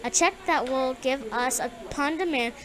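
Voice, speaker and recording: Casting: high female student voice reading formally, with a crowd behind. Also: normal speed. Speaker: student reader. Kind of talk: reading a speech aloud.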